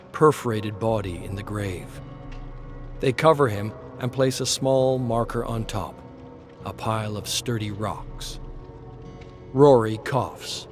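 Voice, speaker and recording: A man narrating a dramatic western story in a terse, brooding way with weighted pauses. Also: lowish voice.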